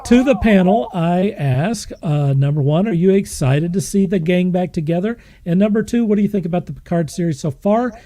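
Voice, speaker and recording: A man's voice: high voice, normal speed, few pauses, dark tone. A man hosting a podcast, casually moderating a panel and putting questions to guests. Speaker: podcast host.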